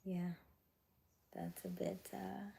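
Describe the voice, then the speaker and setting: A young adult woman hosting a casual livestream, chatting with viewers in a chatty way with frequent laughs and soft, whispery stretches. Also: on the low side, sing-song, slow, creaky, a little hiss or hum. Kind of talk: casual live chat reading viewer comments.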